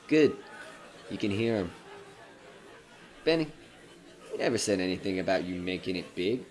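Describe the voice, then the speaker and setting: Man playing sly, menacing supernatural debt collector, swooping pitch. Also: animated, unhurried, pauses often, darkish tone, a faint crowd, poor audio. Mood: sly, teasing. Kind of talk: retro audio horror drama acting.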